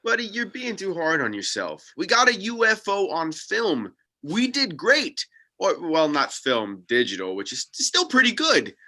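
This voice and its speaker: Man playing a bright, animated, encouraging sidekick. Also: high voice, a little slow, exaggerated voice. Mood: consoling, upbeat. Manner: encouraging, earnest. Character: upbeat, consoling man, amateur UFO-hunting sidekick. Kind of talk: comedy script table read.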